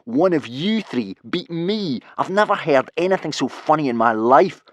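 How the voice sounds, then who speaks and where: A man voicing a burly, gloating teenage Viking bully with a sneering, drawn-out taunting delivery in a storybook. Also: fairly high pitch, lively intonation, no echo, pitched above the performer's own voice, lightly acted. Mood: mocking.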